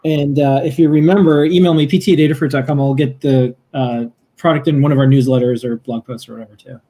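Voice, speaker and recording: A man's voice: medium pitch, fairly even intonation, fast talker, warm tone. A man co-hosting a live show in a casual, conversational way. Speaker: show co-host.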